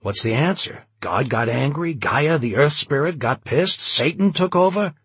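A man's voice: lowish voice, moderate pace, a bit bright, husky, no echo. A man voicing a grim, cynical male leader in an audiobook, speaking with cold, bitter force. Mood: near hysteria. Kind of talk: dramatized audiobook dialogue.

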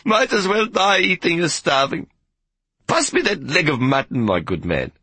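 A woman's voice: fairly low pitch, big pitch swings, moderate pace, fairly bright tone, breathy edge, no echo, pitched above the performer's own voice, heavily acted. A man reading an audiobook, voicing a big, emotional woman on a train who coaxes, weeps and turns haughty. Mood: coaxing. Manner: coaxing, tearful, haughty.

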